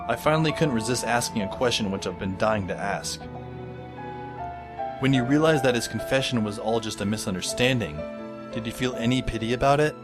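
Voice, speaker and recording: A man's voice: medium pitch, close to an everyday voice. A man playing a wry, reflective teenage boy who narrates his own story in an audio drama. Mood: wistful, wry. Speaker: narrator and lead character. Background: music under the voice.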